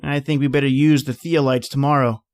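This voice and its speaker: A man playing a calm, plain-spoken surveyor in a radio drama, narrating and talking through a lonely Arctic winter. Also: medium pitch, fairly quick, dry recording, natural voice. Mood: matter-of-fact.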